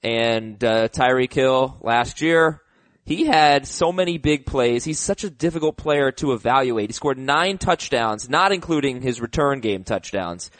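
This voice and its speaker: A man hosts a casual sports chat with animated energy, his voice slightly roughened by a cold. The sound is dry and close, with no room around it. He talks at a middle pitch for a man. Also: unhurried, dry recording. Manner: animated, hot takes, restarts. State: slightly sick voice. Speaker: podcast host.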